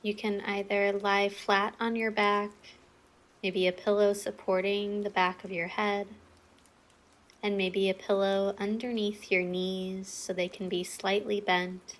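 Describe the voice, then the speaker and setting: A woman leading a guided breathing meditation as an instructor, in a soothing, measured, instructional manner. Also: medium pitch, flat delivery.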